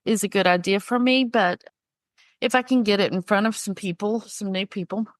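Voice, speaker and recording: A woman's voice: medium pitch, normal speed, dry recording. A woman co-hosting a podcast in casual chat, stuffed up from a cold, chatty and telling stories. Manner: chatty, storytelling. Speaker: podcast co-host.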